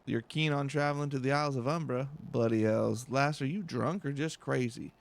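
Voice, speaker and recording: A man's voice: medium pitch, moderate pace, creaky, lightly acted. A man voicing a grim, blunt sailor in a video game, with salty, dramatic speech. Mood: grim, incredulous.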